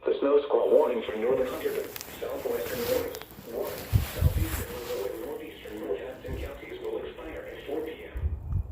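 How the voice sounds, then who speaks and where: A synthetic American male voice reading a formal weather bulletin in an impersonal, measured way, heard through a radio. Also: medium pitch. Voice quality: synthetic text-to-speech voice. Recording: tinny weather radio, echoey, distant, faint noise.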